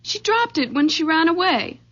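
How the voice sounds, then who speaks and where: A woman's voice: extremely high pitch, sing-song, normal speed, a bit bright, full character voice. An actress playing an uneasy, earnest young woman in an old-time radio drama. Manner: anxious, earnest. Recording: dull, lo-fi vintage radio recording.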